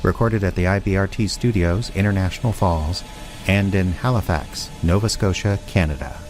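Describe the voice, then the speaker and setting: Man, deep-voiced announcer, scripted credits read, light music bed. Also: moderate pace, darkish tone.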